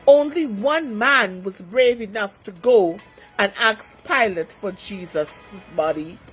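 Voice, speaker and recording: A woman storyteller reading a scripted children's story with expressive narration. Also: mid-range voice, low-quality recording.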